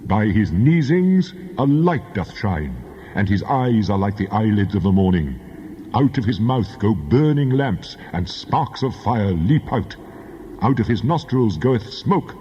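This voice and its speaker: Man acting as God, low, cavernous and majestic. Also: warm tone, husky, exaggerated voice. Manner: solemn, declamatory, grandly measured. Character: God, mighty, majestic, wrathful male deity. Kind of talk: dramatized scripture reading. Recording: muffled, long cavernous reverb.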